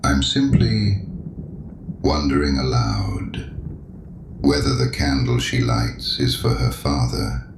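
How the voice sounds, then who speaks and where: An actor playing a mysterious, coolly taunting male penitent in an audio drama, with a gravelly voice and measured, mock-courteous insinuation. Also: extremely low pitch, lively intonation, music in the background, exaggerated voice. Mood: sly, insinuating. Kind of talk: full-cast audio drama acting. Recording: roomy echo, clear background noise.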